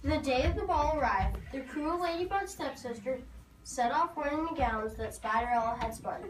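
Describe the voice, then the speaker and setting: A woman's voice: high-pitched, animated, moderate pace. A woman narrating a scripted storybook tale for a readers theater performance. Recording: noisy, echoey hall from far off.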